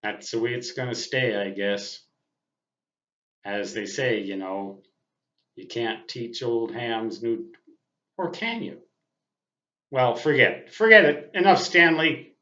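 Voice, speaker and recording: An older man, a ham radio hobbyist, giving an unscripted monologue to viewers in deliberate, wordy, self-deprecating phrases. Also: medium pitch, expressive, bright, forceful tone. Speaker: amateur radio operator and vlogger. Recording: dull, roomy, echoing sound.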